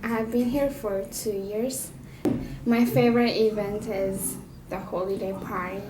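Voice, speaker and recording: A young woman from Ethiopia introducing herself on video in a plain, unhurried way. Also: medium pitch, reverberant, noisy background. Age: young adult. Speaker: graduating senior.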